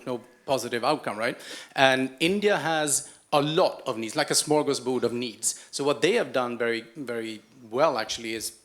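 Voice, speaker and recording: A man's voice: medium pitch, fairly bright tone. A man speaking on a panel in a conversational, persuasive way. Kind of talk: panel discussion.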